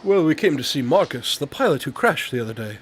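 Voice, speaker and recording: An actor playing a self-assured, dryly witty detective in an audio drama, speaking in a formal, old-fashioned manner. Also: male voice, on the high side, big pitch swings, a little fast, slight background noise. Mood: thoughtful.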